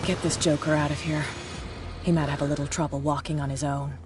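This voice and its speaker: A woman's voice: sits low, level tone, fairly quick, background noise, close to an everyday voice. A woman voicing a ruthless bootlegger gang boss in a video game, cold, mocking and threatening. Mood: menacing.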